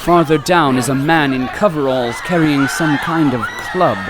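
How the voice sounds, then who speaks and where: An actor playing a theatrical radio narrator who delivers ornate, melodramatic horror commentary. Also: male voice, a little high, moderate pace, a loud crowd, very noisy, natural voice. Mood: alarmed. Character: theatrical male radio narrator, dramatic and urgently horrified. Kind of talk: old-time radio style horror commentary. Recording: music bed under the voice.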